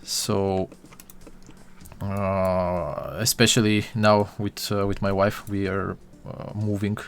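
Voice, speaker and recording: Slow, hesitant man from Bulgaria. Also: low-pitched, fairly even intonation, clear, resonant tone. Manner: hesitant, many fillers and restarts, talks to his viewers. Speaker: 3D modeling tutorial streamer. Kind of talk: casual live-stream chat while modeling.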